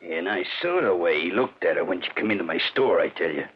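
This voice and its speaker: An actor playing a gossipy, indignant small-town storekeeper in an old radio drama, folksy, emphatic and stumbling over words. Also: male voice, low-pitched, animated, brisk, very noisy. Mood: indignant gossip. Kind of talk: 1940s-50s radio drama acting. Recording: muffled old radio broadcast.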